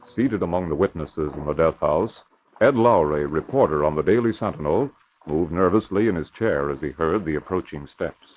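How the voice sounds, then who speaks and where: An actor as a grave narrator, reading scripted narration in a 1940s radio crime serial. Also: male voice, very deep voice, lively intonation, normal speed. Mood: grave, suspenseful. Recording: muffled old radio recording.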